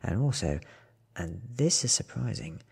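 A man in his thirties giving a soft-spoken, hushed ASMR audiobook reading, breathy and often close to a whisper, slow and deliberate, close to the microphone. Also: fairly low pitch, very animated. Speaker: reader.

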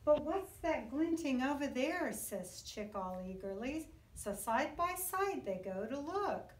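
A middle-aged woman reads a picture book to young children with a very lively, sing-song delivery. She takes her time over each phrase, like a teacher telling a story. The room echoes around her voice, picked up from a short distance. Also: high voice, slow pace, a little hiss or hum. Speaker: kindergarten teacher. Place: indoor classroom. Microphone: camera a few feet away. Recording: roomy echo, distant microphone.

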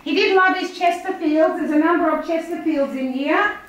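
A woman's voice: extremely high pitch, normal speed. An older Australian woman, an auctioneer, chatting casually as she points out items while walking through a house. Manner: chatty, pointing things out, laughs easily. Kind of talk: casual walkthrough chat. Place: large house, indoors. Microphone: handheld phone camera. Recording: echoey rooms.

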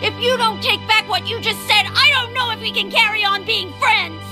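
A woman's voice: extremely high pitch, moderate pace. A woman voicing an earnest, loyal young village boy in a video game, sounding youthful and plaintive. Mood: indignant. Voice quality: youthful, boyish. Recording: game music behind.

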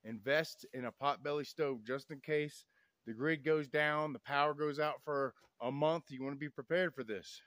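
Man, fairly high voice, dry close sound, folksy, outdoors in snow. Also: steady tone, moderate pace, a bit bright, no echo. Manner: folksy, preachy, keeps saying y'all. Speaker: off-grid vlogger. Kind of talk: outdoor vlog talk to camera. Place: snowy woods outdoors. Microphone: camera on tripod, a few meters away.

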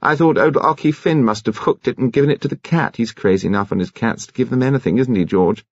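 A man's voice: medium pitch, fast, no room sound, dull audio, voice pushed up, lightly acted. A British man voicing a tweedy, brusque, defiant upper-class woman in an audiobook, offhand in manner. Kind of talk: audiobook character acting.

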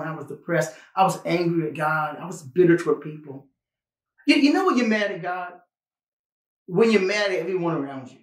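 A man in his fifties giving a personal, conversational sermon as a pastor, earnest and emphatic, with deliberate pauses and repetition. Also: on the high side, roomy sound. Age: 50s. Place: small indoor studio, plain backdrop.

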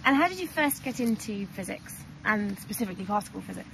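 A woman's voice: mid-range voice, animated, fast talker, vocal fry, background noise. A woman interviewing a guest outdoors, encouraging with frequent agreement sounds. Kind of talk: casual interview questions.

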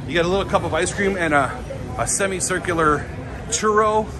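A man's voice: high-pitched, brisk. A man giving a casual, upbeat walking tour on a busy city street, talking with many fillers. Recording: street crowd and music behind. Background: street crowd and music.

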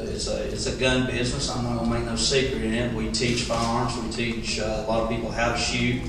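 A man in his forties with a Western North Carolina mountain accent, giving a plainspoken, folksy introduction as a political candidate. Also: mid-range voice. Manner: plainspoken, folksy, steady and level delivery. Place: large library meeting room, indoors. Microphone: table mic, recorded from a distant camera. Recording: echoey hall, distant mic, room noise.

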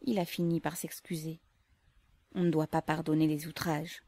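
A woman reading aloud, voicing a stubborn young woman who talks calmly and austerely. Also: low-pitched, moderate pace, no room sound. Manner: calm, austere.

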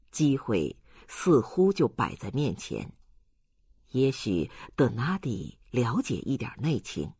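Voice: mid-range voice, slightly rough, dry recording, clean studio sound. An audiobook narrator reading a novel in a scripted storytelling style.

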